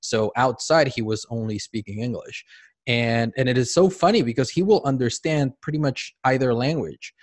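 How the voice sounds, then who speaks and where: A man's voice: medium pitch, moderate pace, no echo, clean studio sound. A man in his forties with a Mexican accent, hosting an interview over a video call at a stand microphone, chatty and often restarting sentences. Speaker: podcast host.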